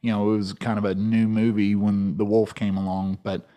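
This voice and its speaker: A man's voice: on the low side, flat delivery, moderate pace, dark tone, muffled recording. A man co-hosting a podcast, chatting casually with dry, playful jokes. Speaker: podcast co-host. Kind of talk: casual joking chat.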